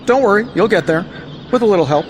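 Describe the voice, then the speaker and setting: An actor playing a flippant superhero inventor in a video game cutscene, sarcastic and chatty with nervous bravado and hesitant asides. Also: male voice, sits high, lively intonation, quick pace, a little hiss or hum. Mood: teasing. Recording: loud music underneath. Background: music.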